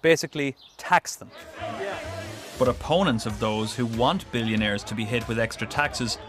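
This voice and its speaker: A man's voice: mid-range voice, moderate pace. A man delivering scripted narration for a business news report. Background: background music.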